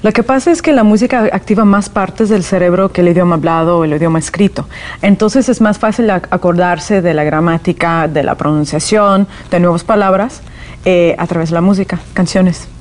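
A woman's voice: fairly low pitch, a little fast, a little mellow. A woman born in the Soviet Union and raised in California, with Russian as her first language, explaining things as a guest in a TV interview with frequent "eh" fillers.

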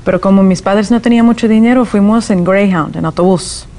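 A woman's voice: medium pitch, fairly quick, a little mellow. A woman born in the Soviet Union and raised in California, with Russian as her first language, explaining things as a guest in a TV interview with frequent "eh" fillers.

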